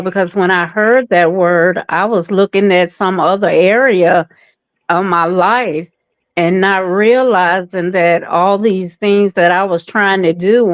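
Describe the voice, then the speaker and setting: A woman's voice: medium pitch, no echo. A woman taking part in a group discussion, sharing personal reflections in a conversational way. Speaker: participant in a group coaching discussion.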